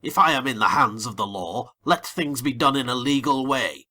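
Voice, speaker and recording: A man's voice: medium pitch, moderate pace, pushed and bright. A man playing a fierce old colonel under arrest, haughty and indignant, in an audiobook reading. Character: fierce old male colonel, bitter prisoner.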